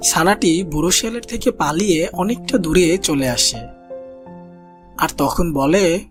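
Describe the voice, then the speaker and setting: A man's voice: a little high, a little slow. Man narrating a children's cartoon over music. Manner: gentle, storybook telling, addresses children as friends. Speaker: storyteller. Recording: voice-over with loud background music.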